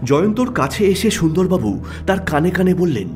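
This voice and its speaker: A man's voice: high-pitched, sing-song, normal speed, darkish tone, faint music behind. A man narrating a scripted detective story as its narrator.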